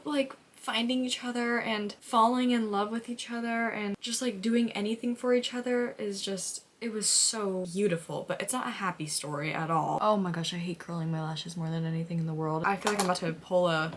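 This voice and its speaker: A woman book vlogger chatting casually and effusively in an informal, emphatic way, with "like" sprinkled through her sentences. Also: medium pitch. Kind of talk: casual chat, emotional book reaction vlog.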